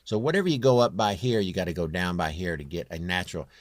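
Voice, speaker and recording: A man with a low, near-bass voice talking through a home music production tutorial in a halting way, thinking aloud as he works. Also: no room sound, clean studio sound. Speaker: home musician demonstrating his vocal production. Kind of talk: informal screen tutorial.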